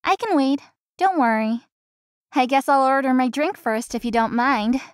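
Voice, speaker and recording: Woman acting a high-voiced polite young florist. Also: moderate pace, clear, resonant tone, no echo, clean studio sound, natural voice. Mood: friendly. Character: polite, hard-working young female florist. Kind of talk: visual novel game acting.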